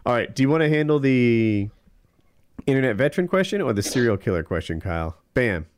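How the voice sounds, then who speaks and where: A man's voice: medium pitch, expressive, brisk, a little hiss or hum. A man hosting a comedy podcast, steering questions and laughing playfully. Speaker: podcast host. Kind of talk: casual comedic chat.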